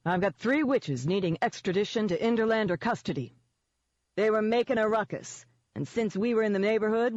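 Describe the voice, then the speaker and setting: Woman voicing a smug gloating man, pitched up. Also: dry recording, lo-fi sound, close to an everyday voice. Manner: cheerful, taunting, firmly in control. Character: smug, gloating man wheeling and dealing by phone.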